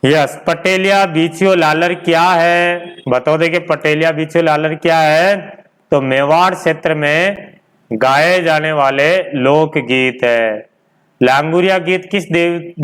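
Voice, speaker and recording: Male coaching teacher, crisp clear tone, slightly raised pitch, informal lecturing with repetition and rhetorical questions. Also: steady tone, slow, a bit bright. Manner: repeats key points, poses questions and answers them himself. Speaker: teacher of an online exam-prep class.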